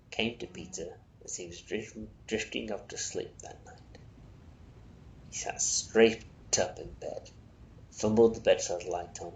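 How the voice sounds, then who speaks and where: Low, creaky man reading a story. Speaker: reader of a short story. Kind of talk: expressive storybook narration. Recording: roomy echo, faint noise, rumble.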